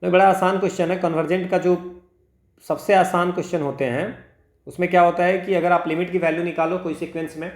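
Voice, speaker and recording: A man's voice: sits high, flat delivery, fast talker. A man with an Indian accent giving an informal maths lesson, working step by step, asking himself questions and mixing two languages. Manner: code-switches between two languages, asks himself questions, frequent check-words. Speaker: maths teacher for exam preparation. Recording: roomy, echoing sound.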